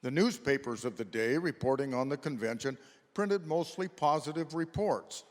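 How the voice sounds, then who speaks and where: A man's voice: medium pitch, animated, normal speed. A man narrating a scripted history for a readers theater performance. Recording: echoey hall with long reverb.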